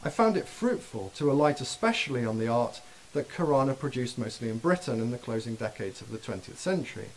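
Male keynote speaker, mid-pitched, measured academic reading, faint hiss. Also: sing-song, poor audio. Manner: measured, dense scholarly phrasing. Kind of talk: formal academic lecture read aloud. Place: dim lecture hall with projection screen.

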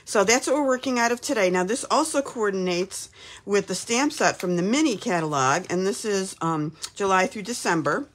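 A woman from Texas in her fifties, slightly hoarse from a cold, chatting casually while hosting a live craft demo from her home craft room. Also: mid-range voice, lively intonation, moderate pace. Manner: chatty, upbeat, lots of "um"s.

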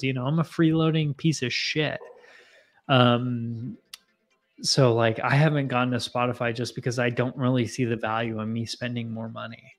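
A man in his mid-twenties hosting a casual live stream, rambling and self-deprecating as he chats with viewers from a home bar on a boom mic. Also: fairly bright tone. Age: 20s. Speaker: livestream host. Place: home bar with bottle shelves, indoors. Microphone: boom mic in front of face.

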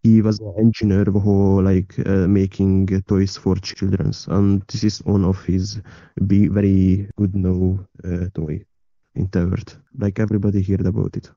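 A young man with a Hungarian accent, a guest answering questions in halting non-native English full of fillers. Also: low-pitched, level tone, vocal fry, mellow, dry recording, highs cut off. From Hungarian from Ukraine. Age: young adult. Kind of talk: casual interview.

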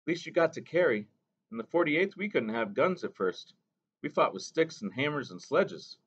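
A man voicing an earnest, protective young soldier who talks quietly. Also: fairly high pitch, big pitch swings, moderate pace, breathy edge, voice pushed up, exaggerated voice. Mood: bitter. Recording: dull lo-fi recording.

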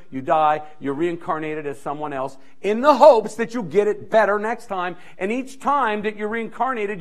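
Middle-aged male pastor, high-pitched, animated preacher who repeats his points for effect. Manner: animated, strolling the stage and gesturing; emphatic, repeating key phrases. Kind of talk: sermon. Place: church stage, indoors. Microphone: handheld mic.

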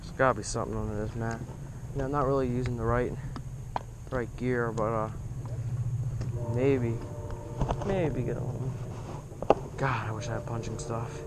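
A man's voice: mid-range voice, steady tone, normal speed, long pauses. A teenage boy talking casually while fishing from a kayak, rambling with lots of fillers, recorded on a head-mounted camera outdoors. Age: teens. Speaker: fishing vlogger. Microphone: head-mounted action camera. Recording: outdoor noise on an action cam.

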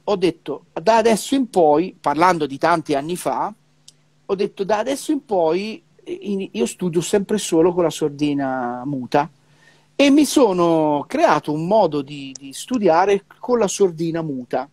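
High-voiced middle-aged man, halting speech. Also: darkish tone, no room sound. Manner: halting, repeats syllables as he searches for words. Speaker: professional trumpeter. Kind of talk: casual conversation about music practice. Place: indoor room, remote video call. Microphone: wired earphone mic on a video call.

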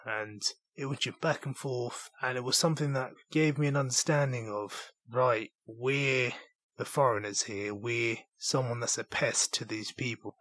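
A man's voice: medium pitch, fairly bright tone, slightly rough. A young British man from southeast England, hosting his solo podcast in an earnest, reflective storytelling manner. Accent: British English. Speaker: podcast host.

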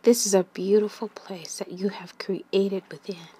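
A woman leading a guided meditation in a gentle, soothing manner, with long pauses and breathy phrase endings that trail away. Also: medium pitch, fairly even intonation.

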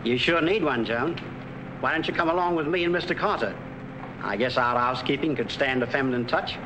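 An actor voices a cheerful working-class cab driver in middle age, folksy and ungrammatical. His voice sits at an ordinary pitch for a man. A 1940s film soundtrack adds steady hiss. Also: a little fast, lightly acted. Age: middle-aged. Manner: folksy, plain-spoken, ungrammatical.

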